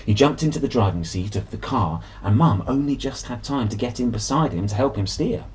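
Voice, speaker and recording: A man's voice: medium pitch, expressive, fairly quick, a little mellow, slight background noise. A man reading a children's story aloud expressively, with dramatic emphasis and playful delivery. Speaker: storybook reader. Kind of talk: animated children's story narration.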